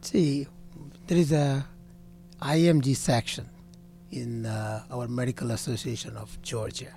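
A man's voice: mid-range voice, fairly even intonation, measured pace, dark tone, slight background noise. A man who is a physician and medical association president, answering interview questions in short, direct statements. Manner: short, blunt statements, often opens with "see".

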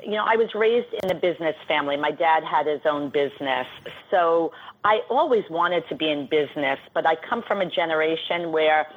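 A woman's voice: low-pitched, a little fast, narrow, tinny audio, slight background noise. A middle-aged woman with a New York accent, a guest coach explaining at length in an articulate, list-like way. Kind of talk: radio interview.

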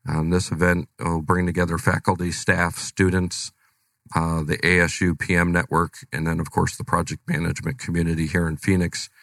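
A man with a cold hosts a radio show, his low voice rough and gravelly. He talks in a relaxed, rambling way with lots of fillers. The studio sound is dry and close. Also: no room sound. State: has a cold. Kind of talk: casual radio talk.

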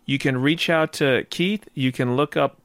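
A man's voice: medium pitch, a little slow, a bit bright, dry recording. Upbeat male radio host, dry studio sound. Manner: upbeat, promotional. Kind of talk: radio interview.

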